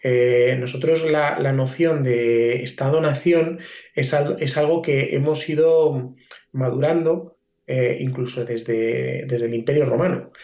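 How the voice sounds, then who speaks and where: A roomy, slightly muffled recording of a man with an accent from Spain. He speaks at a middle pitch as a podcast guest in conversation. His delivery is halting, as he thinks aloud and repeats words. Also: steady tone, warm tone. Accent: Spanish from Spain. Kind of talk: conversational discussion. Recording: roomy, dull lo-fi sound.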